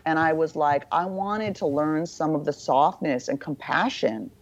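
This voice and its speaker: Deep-voiced woman guest, blunt and joking at herself, muffled lo-fi audio. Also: measured pace. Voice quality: low voice. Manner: frank, irreverent, self-mocking. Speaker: podcast guest. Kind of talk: candid casual conversation. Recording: dull lo-fi sound.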